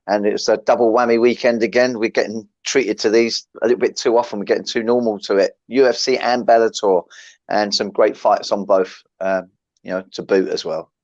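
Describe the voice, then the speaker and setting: A man's voice: on the low side, steady tone, fairly quick, a little mellow, no echo, muffled recording. A man co-hosting a fight podcast, chatting in an upbeat, conversational way. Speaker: co-host, former fighter. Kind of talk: casual chat.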